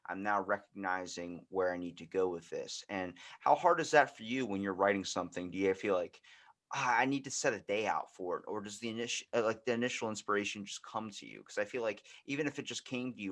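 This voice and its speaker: A man's voice: lowish voice, fairly bright tone. A man hosting a podcast, talking in a casual, informal and rambling way. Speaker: podcast host. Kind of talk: casual chat.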